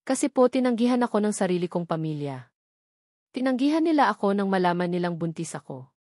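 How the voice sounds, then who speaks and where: A woman's voice: mid-range voice, very animated, a little fast, a little mellow, dry recording, lightly acted. A sorrowful young mother in a romantic drama, voiced by a woman narrator. She pleads respectfully and fearfully, in a dry recording. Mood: tearful confession. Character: fearful, guilt-ridden, deferential young mother.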